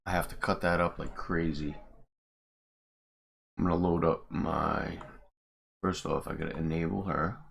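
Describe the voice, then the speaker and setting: A man's voice: deep voice, steady tone, a little slow, creaky, long pauses, a bit bright, slightly rough. A man in casual livestream commentary, talking informally and swearing a bit as he mutters through his work. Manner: informal, sweary, muttering to himself while working. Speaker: game modder and streamer.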